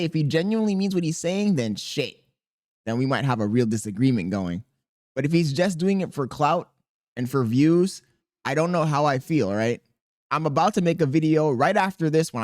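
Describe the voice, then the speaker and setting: A man with a squeaky voice talking casually and profanely to camera as a content creator, rambling and animated. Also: fairly quick, no echo, clean studio sound. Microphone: podcast dynamic mic.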